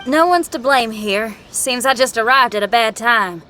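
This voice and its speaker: A woman's voice: a little high, moderate pace, over music, natural voice. A woman playing a spirited, easygoing young fighter in a game, with a folksy, breezy, hearty manner. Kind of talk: game voice acting.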